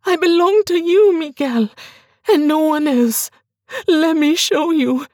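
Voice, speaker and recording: A woman voicing a frightened young woman who pleads in a high, strained, tearful voice. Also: takes it slowly, darkish tone, no room sound, clean studio sound. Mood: pleading.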